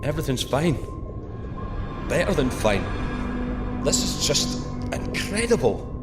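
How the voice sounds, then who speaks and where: A man's voice: a little high, big pitch swings, measured pace. A man voicing an awestruck, wisecracking male explorer in an audio drama, over a music bed. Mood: awed. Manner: breathless wonder, irreverent jokes. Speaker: submarine diver McGovern.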